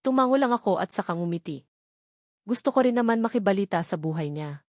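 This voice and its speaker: Lady narrating a novel in first person, medium pitch, dry close recording. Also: lively intonation, fairly quick, no echo. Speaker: audiobook narrator.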